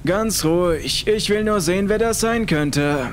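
A man voicing a calm, determined father in a horror audiobook, measured and insistent. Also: sits high, a little hiss or hum, pitched above the performer's own voice.

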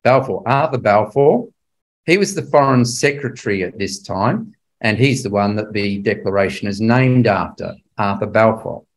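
A man's voice: on the low side, expressive, moderate pace, rough recording. An older man giving a Bible class history lecture in a conversational, emphatic way, often putting rhetorical questions to his listeners.

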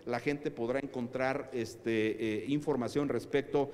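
A man's voice: medium pitch, fairly even intonation, a little slow, darkish tone. Echoey Mexican man giving a formal talk. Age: middle-aged. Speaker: conference speaker. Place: hotel conference room, indoors. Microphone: podium microphone. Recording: echoey hall, distant, faint noise.

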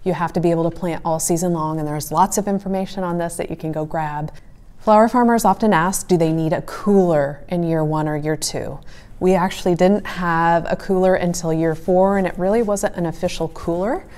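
A woman's voice: on the low side, steady tone, fairly quick, a little mellow. A woman in her forties giving conversational, explanatory advice to camera as a farmer and host. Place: small wood-panelled room indoors by a stove.

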